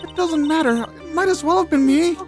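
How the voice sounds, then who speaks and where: A performer as a high, distraught young woman. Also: brisk, faint music behind. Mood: anguished.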